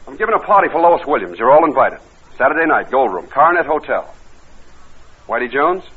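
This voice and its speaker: An actor playing a tough, menacing gangster who narrates in slangy, hard-boiled 1940s radio noir style. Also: male voice, medium pitch, very animated, brisk, slightly rough, narrow, tinny audio. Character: tough, menacing male gangster narrating his crime story. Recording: band-limited old radio recording with music.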